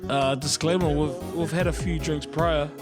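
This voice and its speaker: A man with a croaky voice after a night out, co-hosting a casual sports podcast with loud, laughing banter. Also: a little high, steady tone, moderate pace, music in the background. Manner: rowdy, laughs often, shouts chants. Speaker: co-host.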